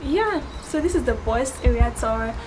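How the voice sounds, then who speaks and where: A young woman in her twenties chatting casually while giving an outdoor campus walking tour, friendly and quick to laugh. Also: a little high, animated, noisy background. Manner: chatty, laughs often, friendly advice to viewers. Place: outdoors on a street and campus grounds.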